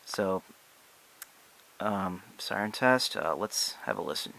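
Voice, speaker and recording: A young man giving a casual, unscripted product demonstration in a halting, hesitant, apologetic way. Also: sits low, expressive, gravelly, bright, forceful tone, low-quality recording. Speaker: amateur product reviewer.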